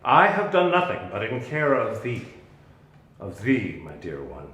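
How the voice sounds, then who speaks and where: An actor playing a protective, commanding exiled magician duke and father, in solemn, deliberate Shakespearean verse. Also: medium pitch, wildly swooping pitch, a bit bright. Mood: reassuring, solemn. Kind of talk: Shakespearean dramatic reading. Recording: roomy, echoing sound.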